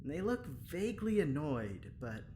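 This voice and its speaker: A man's voice: fairly high pitch, big pitch swings, moderate pace, warm tone, slight background noise. A man playing an anxious, well-meaning young lab assistant in an audio drama, with a hesitant, stammering manner. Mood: concerned, tentative.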